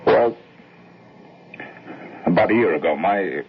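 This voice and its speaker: A troubled father with a very deep, creaky voice, halting and apologetic. The radio drama recording is narrow and slightly noisy. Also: expressive, full character voice. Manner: hesitant, stammering, repeats words. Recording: noisy band-limited old radio recording.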